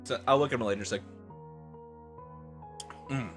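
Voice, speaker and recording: A man's voice: medium pitch, normal speed, a bit bright, faint music behind. A man live streaming a dramatic reading of fan fiction, breaking off into exasperated asides.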